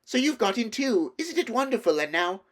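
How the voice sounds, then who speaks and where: Man voicing an eager, high-pitched young girl. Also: normal speed. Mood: happy, excited. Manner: excited, breathless enthusiasm.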